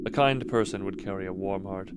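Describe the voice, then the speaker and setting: A man's voice: low voice, a little fast, very noisy. A man streaming casually, moving between reading stories aloud and talking to his live chat. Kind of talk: casual livestream commentary with read-aloud story passages.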